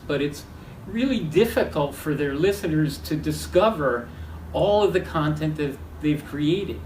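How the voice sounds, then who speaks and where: A man's voice: a little high, a little mellow. A man giving a spoken product demonstration as a presenter. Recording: roomy, distant mic, faint noise.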